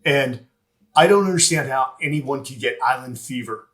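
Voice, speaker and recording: A man's voice: mid-range voice, moderate pace, a bit bright. Laid-back male podcast host. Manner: laid-back, says "dude" and "man". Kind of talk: casual interview hosting.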